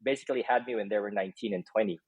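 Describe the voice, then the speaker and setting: Guy guesting on a video chat, lowish husky voice, lots of ums, dry sound. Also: rapid-fire, a little mellow, no echo. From Philippines. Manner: many "um" fillers, laughs while telling stories. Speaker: guest, startup co-founder. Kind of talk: casual video interview.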